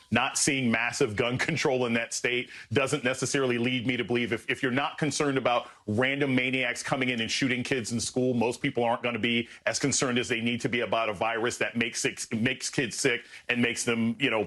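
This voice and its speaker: A man's voice: medium pitch, fairly even intonation, brisk, few pauses, fairly bright tone. A man appearing as a political analyst guest on a news panel, blunt and sardonic in his commentary. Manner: blunt and sardonic, with pointed jabs.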